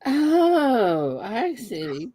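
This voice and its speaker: A woman's voice: fairly high pitch, big pitch swings, drawn-out words, mellow, breathy edge, no room sound. A middle-aged woman with a Southern Texas accent, hosting a live stream and chatting with viewers in a giggly, easygoing way. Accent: Texan Southern. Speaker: host. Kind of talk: live-stream casual chat.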